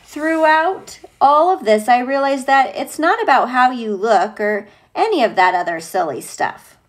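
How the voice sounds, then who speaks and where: A woman teacher reading a picture book aloud to young children, expressive and playful, with gushing, chatty asides. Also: high-pitched.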